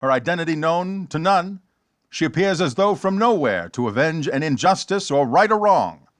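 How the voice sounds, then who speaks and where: Guy doing a 1940s radio serial narrator, theatrical, highish voice, dry sound. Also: lively intonation, normal speed, no room sound, natural voice. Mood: grand, dramatic. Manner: grand, theatrical storytelling. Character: dramatic male narrator of a superhero radio serial.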